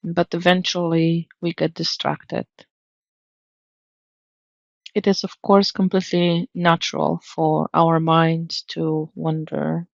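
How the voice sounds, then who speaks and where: Slow, creaky-voiced woman guiding meditation. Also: sits low, level tone, no echo, highs cut off. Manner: soothing, gentle, measured instruction. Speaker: meditation guide. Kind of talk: guided meditation narration.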